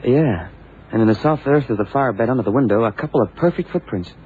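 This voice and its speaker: Animated male voice, actor as earnest deferential police sidekick, radio drama, muffled hissy vintage recording. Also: mid-range voice, quick pace, natural voice. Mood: catching on. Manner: earnest, agreeable, hesitant. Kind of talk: 1960s-70s radio drama acting.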